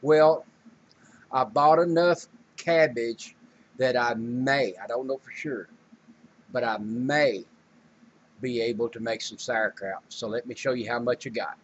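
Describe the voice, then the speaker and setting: A man's voice: medium pitch, a little slow, pauses often, slight background noise. An older man, rambling folksy about groceries and canning in a casual home vlog, with many fillers. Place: small home room indoors. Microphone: webcam or handheld camera. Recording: dull lo-fi home camera audio.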